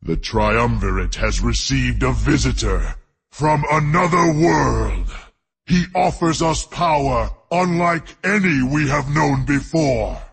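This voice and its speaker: A zealous alien leader from a fantasy video game, slow and grandiose. The voice is very husky and swings widely in pitch as it proclaims like an orator to a whole people. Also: male voice, lively intonation, full character voice. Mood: solemn, grand. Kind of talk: theatrical video game voice acting.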